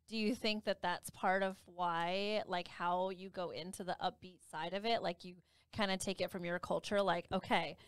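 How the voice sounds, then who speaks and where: A woman in her thirties co-hosting a wedding podcast, playful and quick to riff on a studio mic. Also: on the low side, a bit bright, smooth, no echo. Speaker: podcast co-host, wedding expert. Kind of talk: casual podcast chat. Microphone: studio mic on boom arm, headphones.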